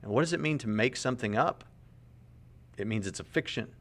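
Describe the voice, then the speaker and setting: A man's voice: mid-range voice, lively intonation, fairly quick, a bit bright. A man in his late thirties, earnest and conversational, commenting on a podcast clip and often repeating words as he reasons aloud. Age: 30s. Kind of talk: apologetics commentary.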